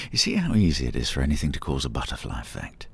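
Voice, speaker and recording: An actor playing an eager, theorising man in an audio drama, explaining emphatically in a gravelly, husky voice. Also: rumbling low, very animated, fast, exaggerated voice. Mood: musing, persuasive. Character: David, eager theorising man lecturing on time travel.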